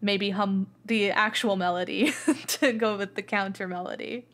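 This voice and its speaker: A woman's voice: mid-range voice, fairly even intonation, moderate pace, fairly bright tone. Casual woman with mid-range voice. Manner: informal, thinking aloud. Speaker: player. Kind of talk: casual chat in a tabletop game.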